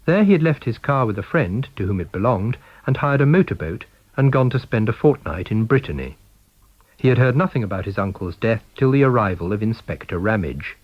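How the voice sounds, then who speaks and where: A British man narrates a story in a middle-pitched voice with very animated intonation. The sound is close and dry, slightly dull in the top end. He reads as a practised storyteller. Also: a little fast, breathy edge, no echo. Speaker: audiobook reader. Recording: dull, band-limited recording.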